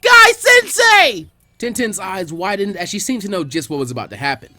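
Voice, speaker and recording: A man's voice: sits high, sing-song, fast, a bit bright, no room sound. A man reading scripted fan fiction aloud as its narrator.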